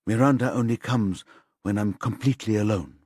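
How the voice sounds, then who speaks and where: An exhausted, distressed woman in a thriller audiobook, voiced by a male narrator. She is hesitant and pleading, with a husky voice in a dry recording. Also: lowish voice, moderate pace, a little mellow, no room sound. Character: distressed, exhausted woman reluctant to summon a ghost.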